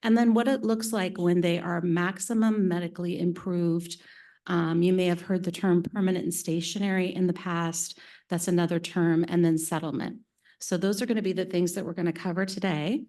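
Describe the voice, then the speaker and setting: A woman with a slightly low voice walks a board through a presentation in a meeting room. She keeps it friendly and chatty, with easy laughs, and speaks into a conference microphone. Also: fairly even intonation, moderate pace, warm tone. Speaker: presenter from claims team.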